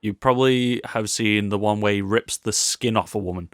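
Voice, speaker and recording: A man's voice: fairly low pitch, moderate pace, clear, resonant tone, dry recording, studio quality. A man co-hosting a podcast, talking about films in a chatty, informal way. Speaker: podcast co-host. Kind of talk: casual film discussion.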